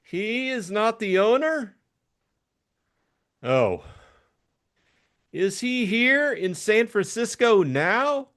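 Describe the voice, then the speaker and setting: Here is a suave, effete gentleman schemer from a hard-boiled audiobook, played by a man. His voice sits high and moves slowly, with swooping intonation. He is formal, precise and evasive, polite to a fault. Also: sing-song, full character voice. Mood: excited, shrill. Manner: formal, mannered, over-polite phrasing.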